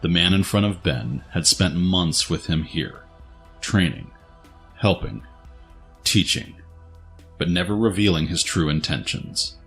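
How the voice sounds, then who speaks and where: A man's voice: sits low, fairly bright tone. A man narrating a scripted dramatic story as its narrator.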